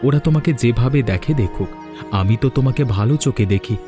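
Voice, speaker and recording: A man's voice: medium pitch, fast, music in the background, lightly acted. A man voicing a caring young husband in a cartoon story, speaking in a reassuring way. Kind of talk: cartoon voice acting.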